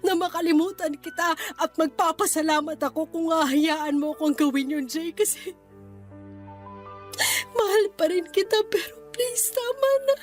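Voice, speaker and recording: A woman's voice: extremely high pitch, moderate pace, faint music behind. A woman acting a heartbroken, fed-up young woman in an emotional, pleading Tagalog radio drama style. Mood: hurt, tearful pleading. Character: heartbroken, fed-up young woman confronting her best friend.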